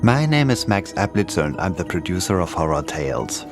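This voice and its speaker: Lively low-voiced male podcast host. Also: brisk. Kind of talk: scripted podcast intro. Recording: music bed under voice.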